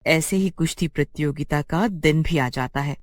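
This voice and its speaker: A woman's voice: low-pitched, normal speed, no room sound. A woman giving scripted narration as the storyteller of a moral tale.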